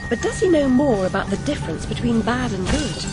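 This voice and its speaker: A woman's voice: fairly high pitch, animated, brisk, natural voice, low-quality recording. A woman playing a defiant, dry-witted young woman in a video game, cool and precise with a sardonic edge. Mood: questioning. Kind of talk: video game character acting. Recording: loud music behind the voice.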